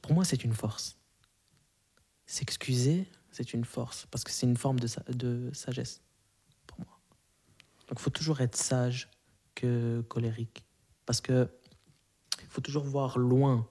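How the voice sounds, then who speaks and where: A young man in his twenties, whose first language is Arabic, answers questions in a relaxed, halting way. He fills his pauses with hums and restarts. His voice is warm and dark, at a middling pitch. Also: husky. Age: 20s. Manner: hesitant, many fillers and restarts. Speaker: interviewee. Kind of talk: casual interview answers.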